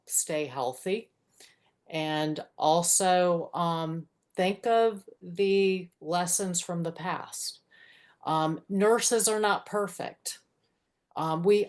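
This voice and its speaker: A middle-aged woman, a nurse, giving an earnest unscripted comment over a video call in a measured, halting way. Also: deep voice. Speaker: nurse, audience participant.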